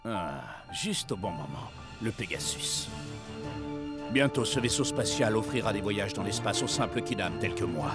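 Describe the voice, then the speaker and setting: An actor voicing a boastful, grandiose football coach in a cartoon, with a pompous manner. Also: male voice, lowish voice, animated, normal speed, close to an everyday voice. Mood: dreamy boasting. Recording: music behind the voice.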